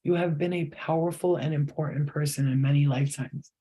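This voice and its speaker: A man's voice: fairly high pitch, fairly even intonation, a little fast, mellow, husky. A man giving a channeled psychic reading to viewers, talking straight to them, emphatic and repetitive. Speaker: psychic reader.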